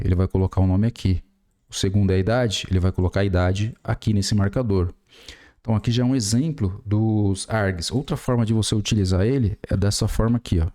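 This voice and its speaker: Give me a Brazilian man with a low, warm voice explaining programming step by step. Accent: Brazilian Portuguese. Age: 40s. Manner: explains step by step while typing, many fillers. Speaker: programming tutor. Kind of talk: screen-recorded coding tutorial.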